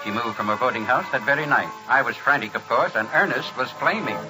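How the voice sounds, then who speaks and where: An actor playing a smooth-talking, scheming Broadway producer who tells his story theatrically in old-time radio drama style. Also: mid-range voice, a little fast, music in the background, lightly acted, low-quality recording. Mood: wry storytelling. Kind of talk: old-time radio drama acting with first-person narration.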